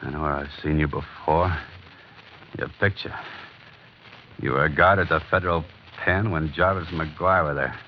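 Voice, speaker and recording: A cool, tough private eye from vintage radio drama. His voice is deep and his talk slangy and needling. The recording is dull, with faint noise behind it. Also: normal speed, long pauses. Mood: defiant. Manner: hard-boiled, slangy, unflustered. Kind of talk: 1940s radio crime drama acting. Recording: muffled, noisy old radio broadcast.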